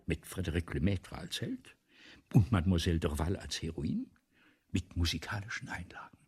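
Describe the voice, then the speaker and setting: An actor playing a vain, excitable playwright in a German radio play, with a pompous, theatrical, lecturing manner. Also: male voice, low voice, moderate pace, slightly rough, exaggerated voice. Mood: excited, proud. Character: vain, excitable, self-dramatizing 19th-century male playwright.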